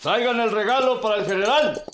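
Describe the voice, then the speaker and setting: An actor with a Mexican accent playing a deferential, duplicitous army colonel, formal and courteous, in a radio drama. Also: male voice, sits high, fairly quick, fairly bright tone.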